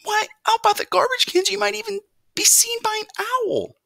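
A woman's voice: extremely high pitch, fairly even intonation, normal speed, gravelly, bright, forceful tone, no echo, studio quality, pitched above the performer's own voice, full character voice. A woman voicing an anxious, scolding mother mouse in a children's storybook, fussing and pleading.

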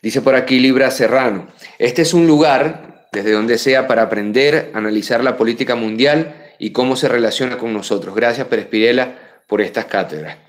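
Venezuelan male host in his thirties, mid-pitched, punchy live commentary that leaps up on key names and falls to soft asides, webcam at a desk. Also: moderate pace, hardly any pauses. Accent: Venezuelan Spanish. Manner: emphatic, rises sharply on key names, drops to quiet asides. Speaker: political show host. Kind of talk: live political commentary reading viewer messages. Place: room with full bookshelves.

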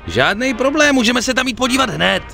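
A male actor in a dubbed video game, playing an excitable, greedy gangster sidekick who swears and wheedles. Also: very high voice, creaky, faint music behind, heavily acted. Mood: eager.

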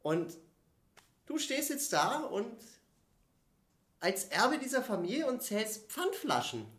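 A downcast warehouse worker played by a man in an improvised audio play. He is brooding and hesitant, gently questioning, with wide pitch movement and an audible room around him. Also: very animated, slow pace, exaggerated voice. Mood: wondering. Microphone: microphone on stand. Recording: roomy stage sound.

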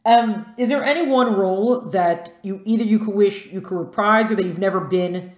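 Podcast host, encouraging, mid pitch, roomy sound, video call. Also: moderate pace, darkish tone. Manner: encouraging, frequent backchannel. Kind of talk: casual interview chat.

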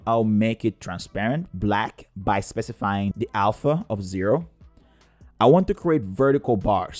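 A man's voice: lowish voice, darkish tone, dry recording. A man narrating a scripted step-by-step coding tutorial in a methodical, explanatory way. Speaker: tutorial presenter.

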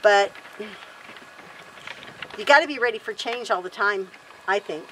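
A woman's voice: medium pitch, measured pace, bright, forceful tone, a little hiss or hum, low-quality recording. A woman in her fifties chatting casually to camera outdoors on a wooden deck, rambling and correcting herself as she talks. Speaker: homesteader vlog host.

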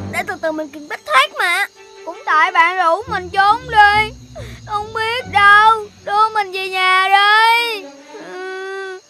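An actor voicing a childish, whiny little animal boy in a children's cartoon, with drawn-out delivery. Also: extremely high pitch. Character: cartoon puppy and piglet boys, childish, whiny, eager. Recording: loud music behind.

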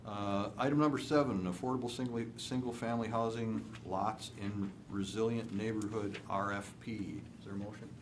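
A man's voice: fairly low pitch, fairly even intonation, normal speed, hardly any pauses, background noise. A man chairing a city council meeting, brief and procedural as he calls motions. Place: council chamber.

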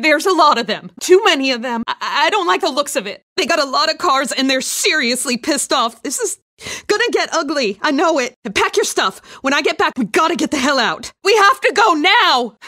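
A kindly woman guarding the gate of a survivor camp in a video game. Her voice sits on the high side and sounds very dry. She speaks in a folksy, motherly, hesitant way, as someone apologetic by nature. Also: pushed and bright, no echo. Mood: panicked, urgent. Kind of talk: dramatic video game dialogue.

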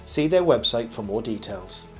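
An older man reading a scripted review voice-over as host, in a measured, formal presenter manner. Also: mid-range voice, very animated. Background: background music.

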